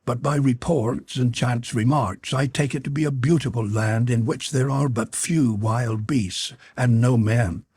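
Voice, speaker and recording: A man's voice: medium pitch, a little mellow, slightly rough, no echo, studio quality, pitched above the performer's own voice. A man voicing a young captive woman in an audiobook, earnest and sorrowful, with formal old-fashioned phrasing. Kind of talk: audiobook character voice.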